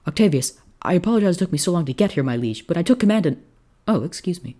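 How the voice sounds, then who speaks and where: A reader voicing a cheeky, dutiful young Roman officer in a story, brisk, formal and chatty. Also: medium pitch, lively intonation, warm tone, voice pushed up, lightly acted. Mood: apologetic.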